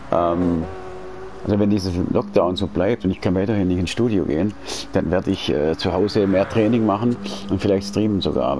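A very deep-voiced man in his late forties talks loosely and at length, using slang and restarting his sentences. He is streaming from home, and loud race-car engine sounds from the game run under his voice. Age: 40s. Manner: relaxed, rambling, talkative with slang and restarts. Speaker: sim racing streamer. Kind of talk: casual chat while gaming. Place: indoors at home. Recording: racing game engine noise behind.

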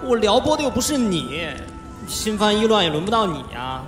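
An actor playing a playful, cheeky young wuxia cultivator, chattering and teasing in live stage audio-drama style. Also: male voice, high voice, sing-song, fairly quick. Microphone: handheld microphone. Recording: live stage, echoey hall, music behind.

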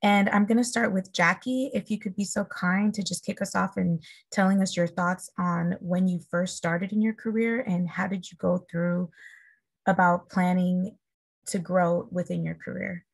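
A woman in her thirties moderates a video panel with a low, gravelly voice that has a husky edge. She speaks politely and formally, and the sound is dry and close. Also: fairly even intonation, moderate pace, dry recording, low-quality recording. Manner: polite and formal, leads the questions. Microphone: laptop mic on a video call.

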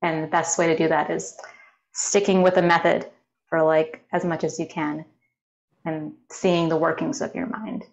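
A woman with a lowish voice full of vocal fry explains things casually in an interview. She is heard over a video call. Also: little pitch movement, normal speed. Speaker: interviewed volunteer, meditation practitioner. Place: indoor room on a video call. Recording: muffled video call audio.

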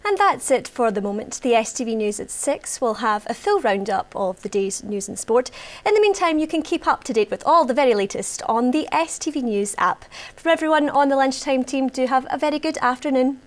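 A woman's voice: high voice, animated. A Scottish woman in her thirties reading scripted news formally as a studio presenter. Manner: formal, measured delivery. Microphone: lapel mic.

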